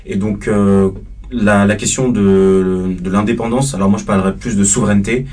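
A young man from the Paris suburbs talks hesitantly on a student panel. His voice is very low and a little husky. He sounds far from the microphone. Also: fairly even intonation, moderate pace, darkish tone. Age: young adult. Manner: hesitant, frequent fillers. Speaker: history master's student, guest. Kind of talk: casual panel discussion.

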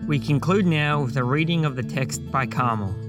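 A man's voice: medium pitch, normal speed. A man presenting a guided reflection recording in a scripted announcement style. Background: guitar music.

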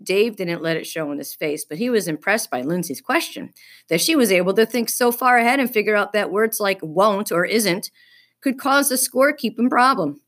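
A woman reading a children's book aloud as an expressive storyteller. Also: mid-range voice, moderate pace.

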